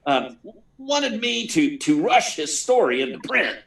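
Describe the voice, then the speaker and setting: An actor playing a harried, gruff newspaper editor in a 1940s-style radio serial, stammering and barking clipped orders, recorded at a distance. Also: male voice, fairly high pitch, very animated, unhurried, fairly bright tone, full character voice. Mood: gruff, hurried. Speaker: actor in a live radio play. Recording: distant, far from the mic.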